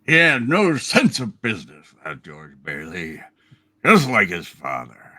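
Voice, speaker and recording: Earnest townsman at prayer, played by a man, bright forceful voice, slow dramatic swings, radio play. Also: on the low side, huge pitch swings, exaggerated voice. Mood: reproachful, pleading. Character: earnest townsman praying for a troubled friend. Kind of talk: hammed-up radio play reading.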